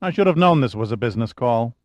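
A male narrator voicing a brisk, wisecracking young woman secretary on a phone call. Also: medium pitch, animated, dry recording, close to an everyday voice. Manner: wisecracking, quick, efficient.

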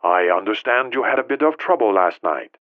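Through a thin, tinny telephone filter comes a stern male head of state, played by a man reading an audiobook. He is formal and coldly authoritative, with measured phrasing and a strongly moving pitch. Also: big pitch swings, no room sound. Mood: quiet, formal. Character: head of state on the phone, quiet, cold, stern. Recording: tinny band-limited phone-line effect.

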